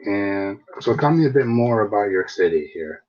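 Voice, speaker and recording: A man's voice: fairly low pitch, lively intonation, normal speed, darkish tone. A man tutoring English on a video call, patiently asking simple questions. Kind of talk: online English lesson conversation. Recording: dull lo-fi video call audio.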